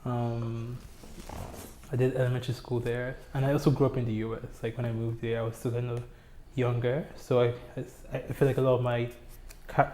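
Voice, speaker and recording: A young man from Nigeria telling his life story in an oral history interview, relaxed and hesitant, with many fillers. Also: medium pitch, echoey room, a little hiss or hum. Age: young adult. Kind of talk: casual personal storytelling. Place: community center room.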